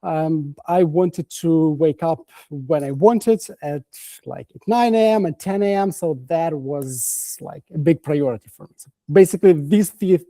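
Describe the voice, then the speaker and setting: Man, Ukrainian accent, very dark tone, halting, filler-heavy, video call, dry close sound. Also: a little high, dry recording. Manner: halting, many fillers and restarts. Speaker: guest coach. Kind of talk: casual interview chat.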